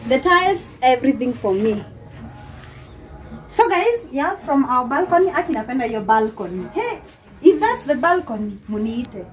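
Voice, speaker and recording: A woman's voice: sits high, normal speed, faint music behind, rough recording. Chatty young Kenyan woman touring an apartment. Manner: lively, laughing often, talks straight to viewers. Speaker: vlogger giving a house tour. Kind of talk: casual chatty house tour mixing English and Swahili. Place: small apartment, indoors and on a balcony. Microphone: handheld phone camera.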